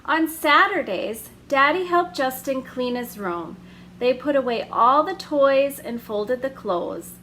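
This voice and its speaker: A woman hosting a children's story hour, reading a picture book aloud in a gentle, expressive way with drawn-out emphasis. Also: high voice, a little hiss or hum.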